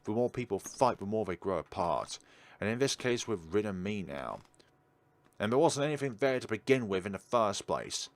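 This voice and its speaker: A man's voice: lowish voice, expressive, moderate pace. A man hosting a let's play, reading game narration aloud and adding teasing, argumentative asides.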